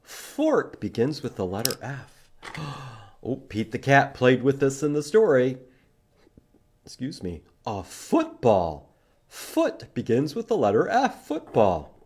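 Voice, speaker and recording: A man hosting a children's storytime, reading a picture book and teaching letters in simple, expressive language with questions for young listeners. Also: medium pitch, slow, breathy edge. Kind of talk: children's storytime read-aloud and letter lesson.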